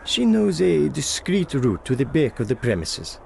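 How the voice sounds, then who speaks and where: Shifty barman informant: anxious, appeasing, lively up-and-down pitch, creaky, faint ambient noise behind. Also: moderate pace, exaggerated voice. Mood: placating. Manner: hesitant, appeasing. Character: nervous, placating Parisian bartender informant, eager to please. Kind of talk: video game cutscene acting.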